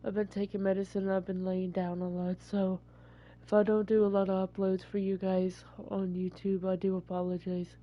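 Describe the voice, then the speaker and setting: A woman with a stuffy cold talks in a rambling, apologetic way. Her pitch stays flat and even. The recording sounds dull and lo-fi. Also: moderate pace. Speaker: gaming YouTuber. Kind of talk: casual let's-play intro.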